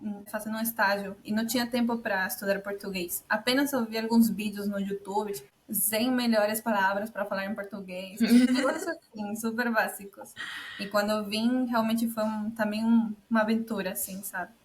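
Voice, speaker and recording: A woman's voice: mid-range voice, moderate pace, poor audio. A young woman from Peru with a Peruvian Spanish accent, a guest chatting casually about her life, with many fillers. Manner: relaxed, many fillers like tipo and assim. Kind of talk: casual conversation.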